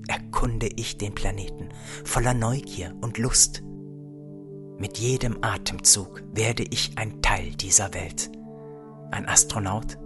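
An actor playing a dreamy, awestruck astronaut co-pilot in a radio play, wistful and eager. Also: male voice, fairly low pitch, natural voice. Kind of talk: radio play acting with poetic monologue.

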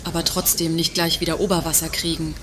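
A woman's voice: sits low, flat delivery, brisk, lightly acted. Woman playing a sarcastic, indignant shopper. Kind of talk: satirical radio play dialogue. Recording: clear background ambient noise.

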